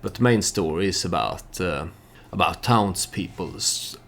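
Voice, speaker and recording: A man hosting a let's play, giving storytelling game commentary in a halting way, as if thinking aloud. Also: low-pitched, lively intonation, creaky, a bit bright.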